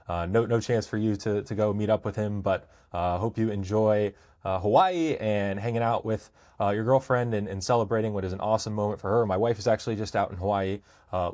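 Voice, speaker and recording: A man's voice: lowish voice, a little mellow. An American man hosting a podcast, talking casually about sports in a conversational way. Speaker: podcast host.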